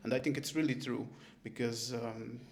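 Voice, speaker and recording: A man's voice: mid-range voice, moderate pace, vocal fry. A man from Hungary, a band member, telling stories in an interview with many repeated words. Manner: animated storyteller, repeats words. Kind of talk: casual interview answers.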